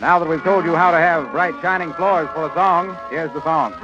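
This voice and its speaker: A man's voice: fairly high pitch, fairly quick, muffled recording, loud music behind the voice. An American man announcing in a scripted 1930s radio style, on a crackly old broadcast recording.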